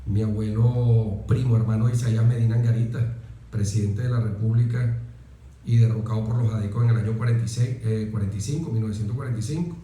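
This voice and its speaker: A man's voice: on the low side, steady tone, warm tone, slight background noise. Venezuelan man in a very echoey room. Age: 40s. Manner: deliberate, emphatic with pauses and repetition. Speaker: interview guest, military officer. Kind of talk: reflective, oratorical interview talk.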